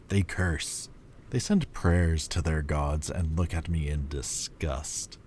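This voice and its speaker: A man voicing an ancient male demon lord, quietly confessing in a slow, halting way in an audio roleplay. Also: sits low, slight background noise, full character voice. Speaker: voice actor.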